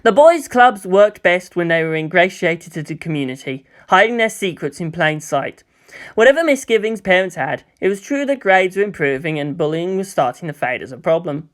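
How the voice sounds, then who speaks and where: A narrator reading a scripted story in an expressive way, with dramatic emphasis. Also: medium pitch, normal speed. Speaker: audiobook narrator. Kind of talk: scripted narration of fan fiction.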